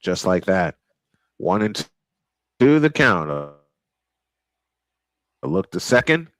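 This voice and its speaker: A man calling live baseball play-by-play, excitable and prone to repeating his points. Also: low voice. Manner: excitable, repeats points, quick calls of action. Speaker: play-by-play announcer. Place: home sports studio.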